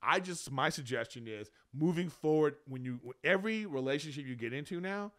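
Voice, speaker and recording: A man's voice: a little high, expressive, brisk, fairly bright tone. A man of about fifty co-hosting a podcast, giving advice in casual, rambling, emphatic explanations. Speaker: podcast co-host.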